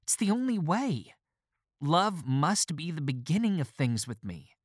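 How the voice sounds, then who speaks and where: A man voicing a weary, agitated male doctor who turns shrill and overwrought. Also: big pitch swings, unhurried, no room sound, clean studio sound. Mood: weary pleading.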